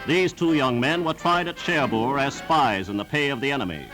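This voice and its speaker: A man's voice: medium pitch, normal speed, over music, rough recording. A man reading scripted 1940s newsreel narration in a formal, emphatic, declamatory manner on a vintage soundtrack.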